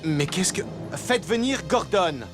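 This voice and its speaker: A man's voice: high voice, expressive, measured pace. A man playing a courteous, commanding yet kindly king with a formal manner in a fairy-tale cartoon. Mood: regal, inquiring. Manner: stately, formal. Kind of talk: animated fairy-tale dubbing. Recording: music behind the voice.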